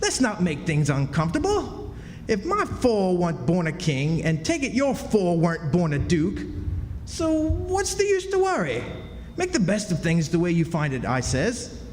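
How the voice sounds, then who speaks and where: Man playing a high-voiced, grandiose phony duke. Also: wildly swooping pitch, normal speed, a little mellow, a little hiss or hum. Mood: scheming, chummy. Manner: grandiose, florid stage declamation. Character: theatrical, self-pitying con man posing as a duke. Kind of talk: stage radio drama acting. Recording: echoey hall stage performance, music behind.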